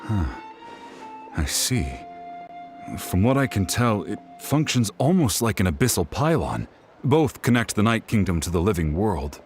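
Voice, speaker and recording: A man's voice: lowish voice, very animated, unhurried, a little mellow, soft background music. A man voicing a guarded, brooding veteran warrior and scout in a video game, terse and pensive. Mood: analytical.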